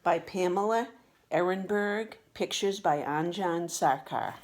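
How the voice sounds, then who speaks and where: A woman reading a children's picture book aloud with expressive, deliberate storytelling delivery. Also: on the low side, noisy background. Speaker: storybook reader.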